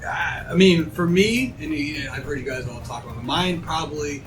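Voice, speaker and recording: A man's voice: a little high, expressive, moderate pace, distant mic, rough recording. A man hosting a casual fan panel, chatting in a rambling, opinionated, joking way. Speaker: host of a fan discussion panel. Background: music.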